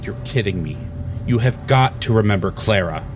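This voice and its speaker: A man's voice: fairly low pitch, expressive, normal speed, close to an everyday voice. Man playing an exasperated, earnest priest, noisy background. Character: earnest, exasperated male priest, idealistic and self-righteous. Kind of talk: audio sitcom acting.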